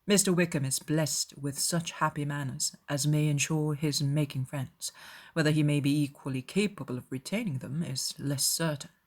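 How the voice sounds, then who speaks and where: A woman voicing a proud, reserved young gentleman in a constrained, formal and measured way for a dramatized audiobook. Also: deep voice. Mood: stiff, guarded.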